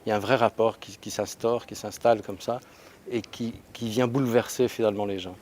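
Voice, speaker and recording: A man's voice: fairly low pitch, steady tone, fairly quick, darkish tone, a little hiss or hum. A man organizing an event, speaking earnestly in an interview and repeating small words as he builds his point.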